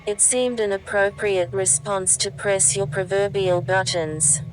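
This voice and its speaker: Woman as female machine, dry literal delivery, needling, medium pitch, noticeable background noise, audio fiction. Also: fairly even intonation, measured pace, natural voice. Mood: deadpan teasing. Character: deadpan non-sentient train machine, female-voiced, needling.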